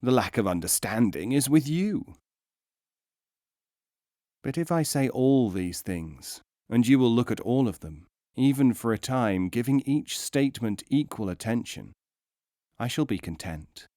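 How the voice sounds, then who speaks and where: A man reading philosophical teaching stories as a scripted audiobook narration, in a measured, emphatic, instructive way. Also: mid-range voice, animated, warm tone, dry recording, clean studio sound.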